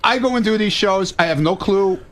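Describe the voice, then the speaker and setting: A man with a strikingly high voice for a male speaker, talking casually in an argument. He is blunt and colloquial, swearing as he explains his side. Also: fairly quick, fairly bright tone. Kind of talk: casual studio talk in an argument.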